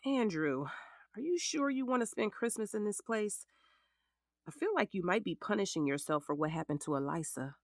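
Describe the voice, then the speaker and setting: A woman's voice: mid-range voice, animated, normal speed, no echo, studio quality, natural voice. An actress playing a frank, sassy middle-aged lawyer in a naturalistic audio drama, protective of the ex-husband she represents. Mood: concerned. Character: frank, sassy female lawyer, protective ex-wife of her client. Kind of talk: naturalistic scripted drama dialogue.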